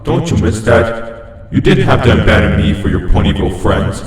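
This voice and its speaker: A man voicing a possessive living stuffed toy that talks with slow, falsely friendly menace in a horror story reading. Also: lowish voice, big pitch swings. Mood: wounded, wistful. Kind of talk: horror fan fiction reading. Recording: heavy cavernous echo and long reverb.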